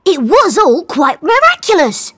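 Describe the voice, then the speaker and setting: An actress voicing a curious, admiring boy apprentice in an animated game. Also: extremely high pitch, measured pace, exaggerated voice.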